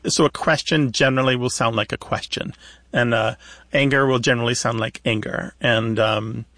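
A man's voice: mid-range voice, steady tone, moderate pace, a bit bright, dry recording. A man hosting a call-in radio show, explaining things in a conversational way.

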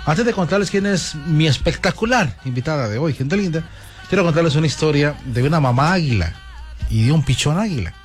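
A man's voice: a little high, lively intonation, a little fast, faint music behind. A man hosting a talk show, telling a fable to his audience and greeting them effusively. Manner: effusive, repeats "gente linda" often. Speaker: host.

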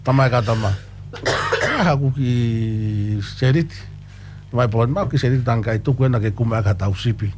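A man's voice: mid-range voice, brisk, background noise. A man in casual chat, telling a story in an easygoing way. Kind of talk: casual chat mixing English and a Pacific language.

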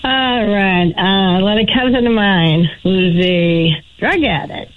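Slow, deadpan woman with a Boston accent. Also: medium pitch, phone-quality sound, a little hiss or hum. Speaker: caller. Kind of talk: telling a story on a call-in show.

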